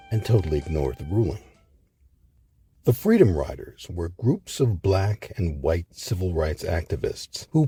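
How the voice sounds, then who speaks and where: A man reading scripted documentary narration in a measured, articulate way with emphatic phrasing. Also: low-pitched, warm tone, husky. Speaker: narrator of a history program.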